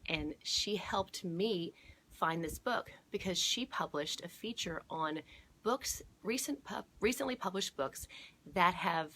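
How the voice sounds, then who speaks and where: Low-voiced woman reading storytime aloud, background noise. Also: lively intonation, fairly bright tone. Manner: explanatory, pausing to point things out and check with listeners. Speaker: storytime host at a museum garden. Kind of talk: read-aloud storytime for children with chatty asides.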